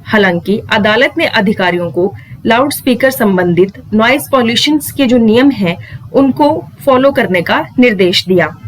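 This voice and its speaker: A woman's voice: on the high side, a little hiss or hum, low-quality recording. A woman explaining legal news to viewers in a didactic way, mixing English terms into her speech. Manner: didactic, poses questions and then answers them. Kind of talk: explanatory lesson addressed to viewers.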